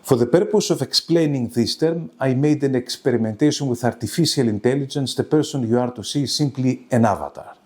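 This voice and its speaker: A man giving an academic lecture in a formal, careful and halting manner. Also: medium pitch, a little mellow. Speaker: lecturer presenting a web seminar.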